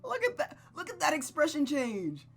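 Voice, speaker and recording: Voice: sits high, huge pitch swings, normal speed, gravelly, a bit bright, soft background music. A streamer reading a visual novel aloud with casual, enthusiastic commentary, stumbling now and then over the text. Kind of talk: casual gameplay commentary and read-aloud narration.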